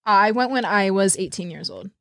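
A woman's voice: medium pitch, normal speed, a bit bright, no echo, studio quality. A woman in her twenties talking casually and candidly. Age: 20s.

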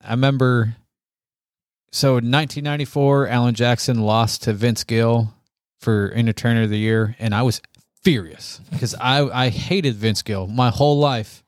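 A man's voice: medium pitch, fairly even intonation, normal speed, dry recording. American guy cohosting a music podcast, mid-range voice, slangy fan talk, dry sound. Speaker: podcast co-host. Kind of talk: casual music chat.

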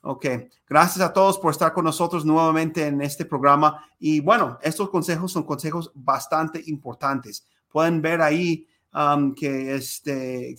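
Male podcast host, fairly high voice, hesitant, lots of fillers. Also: a bit bright. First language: Spanish. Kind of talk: conversational interview.

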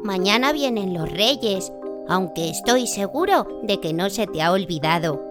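Presentadora infantil cercana con música. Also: female voice, mid-range voice, expressive, a little slow. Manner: friendly, chatty address to a child. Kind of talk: scripted introduction addressed to children. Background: music.

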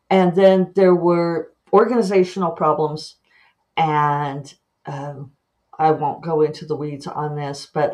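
A woman hosting her own podcast, chatting casually and animatedly with quick asides and self-mocking laughs. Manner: lively pitch jumps when acting out her own thoughts. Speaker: podcast host and author. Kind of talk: casual monologue.

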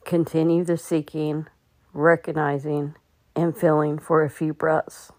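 A woman with a low voice guides a meditation in a very even tone. She gives gentle instructions and leaves long pauses between phrases. The sound is dry and close, with very little room around it. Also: dry recording. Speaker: meditation guide and podcast host.

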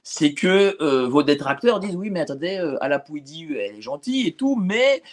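A man's voice: fairly high pitch, big pitch swings, normal speed. A man interviewing a guest, asking questions with hesitations and repeated words. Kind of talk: interview conversation.

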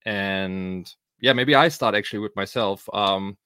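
A man's voice: lowish voice, a little slow, sharp tone, smooth, dry recording, studio quality. A middle-aged man from Germany, a native German speaker with a German accent, co-hosting a podcast in casual, loose, colloquial chat. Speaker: podcast co-host.

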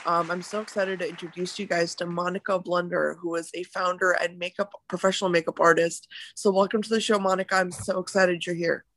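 A woman's voice: lowish voice, level tone, brisk, few pauses, a bit bright, dry recording, rough recording. A woman hosting a podcast interview, speaking in an enthusiastic, gushing way. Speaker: podcast host.

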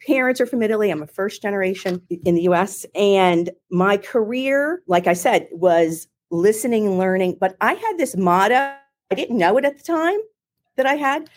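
A middle-aged woman with a Philadelphia accent, telling her career story in an interview in a chatty, animated way with many restarts. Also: mid-range voice, moderate pace. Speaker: marketing executive, guest. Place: home or office in Ohio, remote call.

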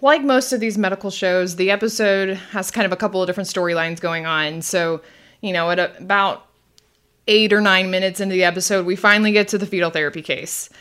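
A woman co-hosts a relaxed, conversational podcast at a medium pitch. She explains things in a chatty way and laughs easily. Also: quick pace, clear, resonant tone. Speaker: podcast host. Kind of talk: casual conversational podcast commentary.

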